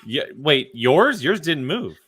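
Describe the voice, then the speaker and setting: A man's voice: on the high side, very animated, slow, fairly bright tone, slightly rough. A man hosting a casual live group chat, with a teasing, playfully exasperated way of talking. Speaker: host.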